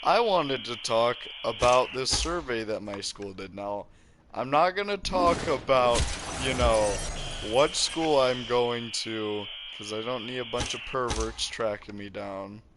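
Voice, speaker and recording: A teenage boy talks slowly and meanders through his thoughts while he plays, cracking self-aware jokes between bursts of loud reaction to the game. His voice sits at a middling pitch, and a clear bed of background noise runs under the recording. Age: teens. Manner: rambling, joking, self-aware asides. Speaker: gaming channel host. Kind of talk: rambling commentary over gameplay.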